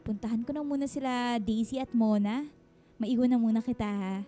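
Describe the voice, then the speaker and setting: A woman's voice: fairly high pitch, steady tone, a little fast, mellow, natural voice. An actress with a Filipino accent playing a guarded but friendly single mother of thirty in a radio drama. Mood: gently evasive. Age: 30s. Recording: music bed behind the voice.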